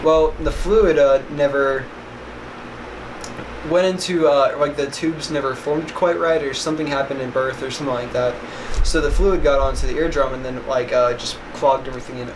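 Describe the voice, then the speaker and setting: A young man from West Virginia talks in an easygoing, rambling way, full of "like" and "uh", with no regional accent. His voice sits in a middle register. The home recording carries steady background noise. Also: medium pitch, a little fast. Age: young adult. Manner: rambling, filler-heavy, "like" often. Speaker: vlog co-host. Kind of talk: casual chat.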